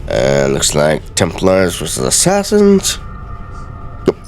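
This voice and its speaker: A man giving let's play game commentary and dramatically reading in-game texts aloud in a theatrical, deliberate way. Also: low voice, huge pitch swings, creaky, slight background noise.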